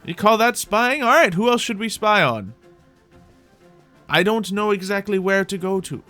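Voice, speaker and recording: A man's voice: high voice, lively intonation, normal speed, sharp tone. A man hosting a gameplay video with casual, rambling roleplay commentary, often repeating his own phrases as he talks to viewers. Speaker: host of a Minecraft roleplay video. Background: music.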